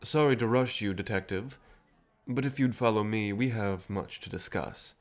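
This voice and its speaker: An actor playing a cheerful, self-assured, century-old vampire rebel leader in an audio drama, courteous and persuasive with a theatrical manner. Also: male voice, on the low side, moderate pace, natural voice. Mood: determined, persuasive. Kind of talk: scripted audio drama acting.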